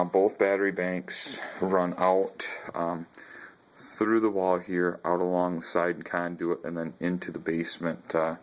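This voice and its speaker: A man walking through his home battery and radio setup in a casual vlog, talking haltingly with many ums and self-corrections. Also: sits low. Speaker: video blogger showing his ham radio setup. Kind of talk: casual walkthrough narration. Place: house, garage and basement.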